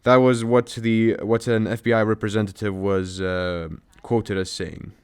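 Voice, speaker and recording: Low-voiced man rambling through commentary. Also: little pitch movement, a little slow, clean tone. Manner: rambling, many fillers and restarts, mocking. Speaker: commentary YouTuber. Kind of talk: casual commentary reading a news article.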